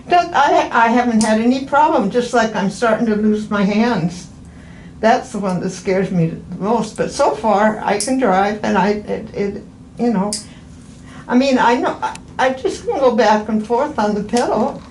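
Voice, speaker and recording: An elderly woman in her eighties talks casually in an echoey room with faint noise behind her. She rambles in a halting way, restarting and repeating phrases as a patient explaining herself. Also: a little high, animated, darkish tone. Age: 80s. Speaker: podiatry patient. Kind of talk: casual chat during an appointment.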